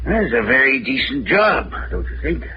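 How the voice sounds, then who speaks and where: An actor playing a sinister, grandiose maestro with formal, theatrical phrasing in a vintage radio drama. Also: male voice, mid-range voice, very animated, normal speed, lightly acted. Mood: smugly ominous. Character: sinister, grandiose male maestro, mad experimenter, coldly courteous. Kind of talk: 1940s radio acting. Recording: muffled, noisy old radio recording.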